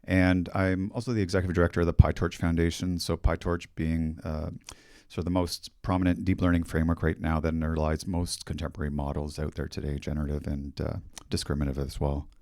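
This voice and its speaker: Deep-voiced Canadian man giving a tech talk. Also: quick pace, few pauses, no room sound, studio quality. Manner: monotone, steady explanatory delivery. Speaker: conference speaker. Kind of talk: technical conference talk. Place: large conference hall stage. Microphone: handheld microphone.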